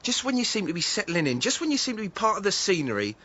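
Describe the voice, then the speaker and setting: An actor playing an earnest, exasperated but friendly young village constable in a radio drama, husky, with an English accent. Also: male voice, sits high, very animated, rapid-fire, pushed and bright, dull audio, exaggerated voice. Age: young adult.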